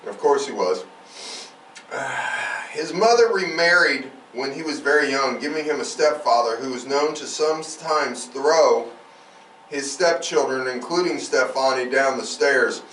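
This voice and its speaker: Guy podcast host, slowish delivery, sweary irreverent asides, echoey room off the mic. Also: mid-range voice, a bit bright. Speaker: true crime podcast host. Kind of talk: reading case notes with crude casual asides. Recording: roomy, distant mic, faint background noise.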